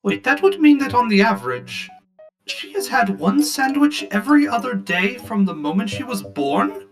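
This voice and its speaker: A woman's voice: fairly high pitch, very animated, moderate pace, faint music behind. A woman playing a blunt, teasing older sibling who sounds skeptical and sardonic. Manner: sardonic, questioning.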